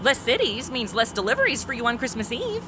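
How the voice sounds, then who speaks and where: A breezy stand-in superheroine in a comic radio-style drama. She is flippant and wisecracking, with a fairly high voice that jumps around in pitch. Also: fairly quick, noisy background. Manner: flippant, animated. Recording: music behind the voice.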